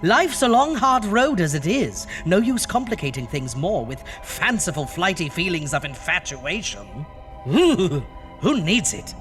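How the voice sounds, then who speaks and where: A high-pitched, pompous undead horror host. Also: male voice, sing-song, measured pace, fairly bright tone, soft background music, full character voice. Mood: haughty, scornful. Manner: theatrical, pompous, alliterative. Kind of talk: campy horror host radio acting.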